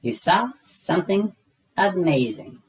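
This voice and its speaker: A woman voicing a gentle, patient mother pig who speaks kindly. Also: fairly low pitch, animated, slow pace, voice pushed up, low-quality recording. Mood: fond.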